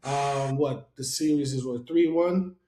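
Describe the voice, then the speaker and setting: A man's voice: medium pitch, a little slow, warm tone. A man from Haiti hosting a casual podcast chat, loose and rambling with lots of fillers. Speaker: podcast host. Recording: roomy echo.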